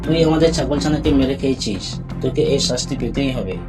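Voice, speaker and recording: A man voicing a grieving, vengeful father goat in a cartoon animal fable, speaking in a measured, stern way. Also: mid-range voice, over music, lightly acted. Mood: accusing.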